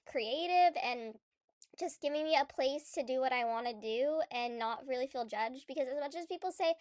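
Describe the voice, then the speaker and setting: Young woman vlogger, high voice, stuffy with a cold, chatty with lots of fillers; dry close mic. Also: normal speed, darkish tone, no room sound. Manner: chatty and rambling, many fillers and restarts. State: congested from a cold. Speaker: gaming YouTuber. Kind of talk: casual vlog commentary.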